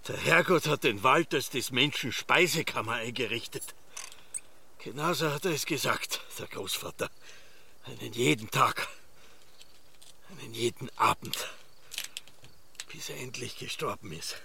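Casting: brooding Austrian farmer dad, gravelly, forceful edge, solemn archaic storytelling for radio drama, faint ambient noise. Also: male voice, mid-range voice, unhurried, pauses often, heavily acted. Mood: insistent, solemn. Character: brooding farmer father lost in memories of grandfather. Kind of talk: theatrical radio drama monologue.

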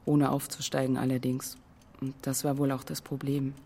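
Mellow, reflective woman looking back. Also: a bass voice, level tone, moderate pace, faint music behind. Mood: reflective, critical. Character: reflective woman recalling a male colleague and ex-partner. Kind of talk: naturalistic radio drama acting.

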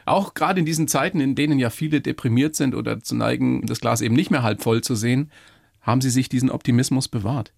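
A male interviewer talks fast in a gravelly, husky voice. He asks chatty, leading questions. The sound is dry and close, with no room. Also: mid-range voice, dry recording. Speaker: radio interviewer.

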